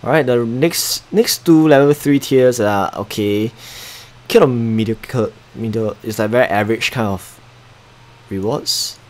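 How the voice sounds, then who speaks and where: A man's voice: mid-range voice, unhurried, a little mellow. A young Singaporean man with a Singaporean accent, chatting casually while live-streaming a mobile strategy game, muttering asides and reading viewer chat aloud.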